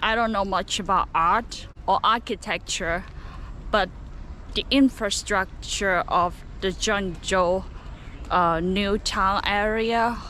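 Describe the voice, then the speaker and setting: A woman's voice: medium pitch, slow, bright, forceful tone. A young woman from southern China with a Cantonese accent, chatting casually as a travel vlogger outdoors in a city and musing with fillers. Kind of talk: casual travel vlog narration. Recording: outdoor street noise with traffic.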